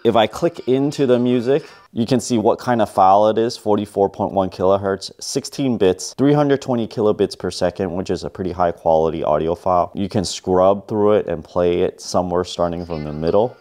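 Casting: middle-aged man, deep relaxed voice, matter-of-fact tech reviewer listing features plainly. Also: fairly quick, hardly any pauses, faint music behind. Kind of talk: product review narration.

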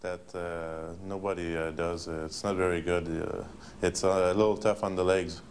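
A man's voice: low voice, flat delivery, normal speed. A man, a hockey player guest, giving casual and hesitant interview answers on a television broadcast. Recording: faintly noisy old TV broadcast.

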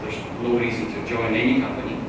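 A man's voice: medium pitch, completely flat delivery, fast. A middle-aged man from Russia, a startup CEO, telling a casual story to an audience with lots of fillers and restarts. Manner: chatty, many fillers and restarts. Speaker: tech startup CEO giving a talk. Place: small conference room with audience. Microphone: far camera, no mic on him. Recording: very echoey room from far off, audible noise.

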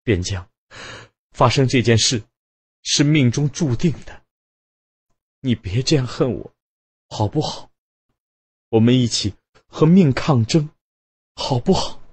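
A man's voice: mid-range voice, animated, slow pace, pauses often, darkish tone, husky, voice pushed up. A man voicing a distraught young wife who pleads through tears with her husband in a storybook reading. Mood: pleading. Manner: tearful, pleading.

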